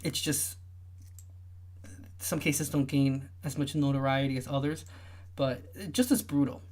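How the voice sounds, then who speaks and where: A young man with a high-pitched voice that often gets taken for a woman's, hosting a casual livestream and rambling with lots of fillers and restarts. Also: fairly even intonation, a little slow, darkish tone. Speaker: livestream host.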